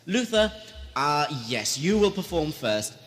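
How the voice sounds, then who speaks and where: A man's voice: on the high side, big pitch swings, unhurried. A man playing a flamboyant, menacing mad lord holding court in an audio drama, theatrical and grandiose. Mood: grandly playful. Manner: theatrical, grandiose, swooping from cooing to shouting. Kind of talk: amateur audio drama acting.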